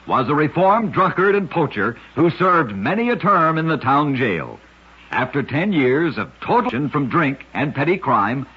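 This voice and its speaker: A man hosting an old-time radio show, narrating short scripted oddity tales in a husky, rough-edged voice. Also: on the high side. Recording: dull, lo-fi old radio recording.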